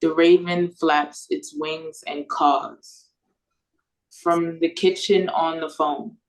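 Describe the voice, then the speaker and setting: A woman's voice: deep voice, steady tone, slow pace, rough recording. A woman with an American accent reading stage directions as narrator at an audio play read-through. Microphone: video call.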